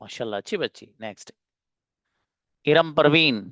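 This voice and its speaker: A man's voice: on the high side, normal speed, sharp tone, no room sound, muffled recording. Brief, encouraging male teacher. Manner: brief, encouraging, calls students "babu". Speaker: English teacher. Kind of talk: online class, calling on students.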